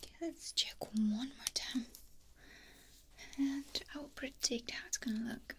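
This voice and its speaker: A woman in her twenties speaking in a soft, breathy whisper, gently giving close-up personal attention in an ASMR style. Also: on the high side, a little slow. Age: 20s. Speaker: ASMR host. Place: small furnished room indoors. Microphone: close ASMR microphone, off screen.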